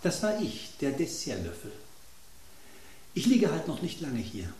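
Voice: medium pitch, very animated, a little slow, dark tone. An actor voicing an aristocratic dessert spoon in a radio drama, dramatic and pompous in manner. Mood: cocky. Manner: theatrical, pompous, quoting French poetry. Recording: roomy sound with faint noise.

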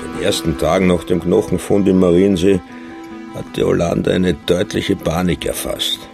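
An actor playing a wary, grumbling old innkeeper in a radio drama, unhurried and dry in delivery. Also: male voice, low voice, fairly even intonation, vocal fry, music in the background. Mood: dry, disapproving. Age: older. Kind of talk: radio drama, first-person narration and dialogue.

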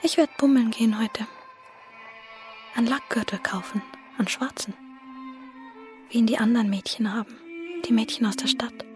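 High, breathy young Bavarian girl, played by a woman. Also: normal speed, long pauses, music in the background, natural voice. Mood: dreamy, secretive. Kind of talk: radio drama monologue and dialogue.

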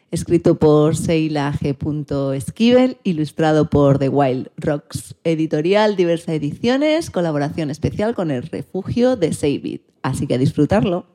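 Woman radio host, spain accent, lowish mellow voice, introducing segments. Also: a little slow, hardly any pauses. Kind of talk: scripted radio presenting.

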